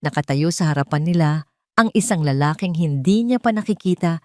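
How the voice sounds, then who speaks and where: A woman's voice: low voice, lively intonation, brisk, dry recording, clean studio sound. A woman reading a scripted emotional story as a dramatic narrator.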